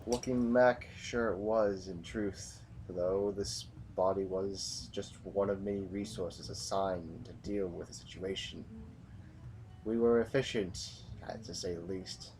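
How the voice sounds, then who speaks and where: Man acting a low-voiced, stoic sci-fi military commander. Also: fairly even intonation, a little slow, a little mellow, a little hiss or hum, low-quality recording. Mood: solemn, reminiscing. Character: stoic, hardened male military commander, brooding and defiant. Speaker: voice actor in an audio drama. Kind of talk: dramatic sci-fi audio drama acting.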